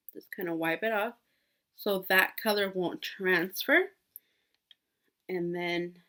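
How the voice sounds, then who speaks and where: A woman's voice: medium pitch, noisy background. A woman in her twenties, sick with a cold, chatting casually through a makeup tutorial with many fillers and restarts. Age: 20s. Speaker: beauty vlogger.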